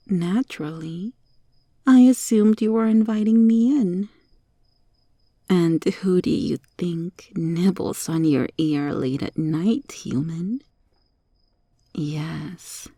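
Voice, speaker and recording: A woman voicing a sly, teasing monster cockroach girl in an ASMR roleplay, taunting and coaxing with deliberate, sometimes whispery delivery. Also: lowish voice, mellow, no room sound, studio quality, full character voice. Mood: teasing, cozy.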